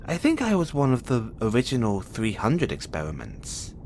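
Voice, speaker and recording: A man's voice: medium pitch, wildly swooping pitch, normal speed, a little hiss or hum. A man playing a huge, imprisoned experiment in an audio roleplay, cocky and bitter, teasing and volatile. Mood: teasing, probing. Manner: teasing, swaggering, swinging from soft murmurs to loud outbursts. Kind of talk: intimate audio roleplay monologue addressed to the listener.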